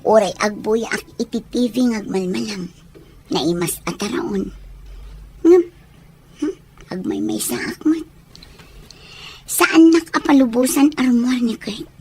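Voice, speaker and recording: High-voiced young wife, eager and sulky. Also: female voice, unhurried, pauses often, darkish tone, breathy edge. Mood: bored, sulky. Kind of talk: Ilocano radio drama acting.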